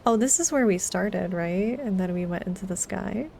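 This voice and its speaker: A woman's voice: mid-range voice, lively intonation, normal speed, gravelly, a little mellow, a little hiss or hum. A woman streaming a video game, chatting casually with her viewers as she plays. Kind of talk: casual chat with viewers during gameplay.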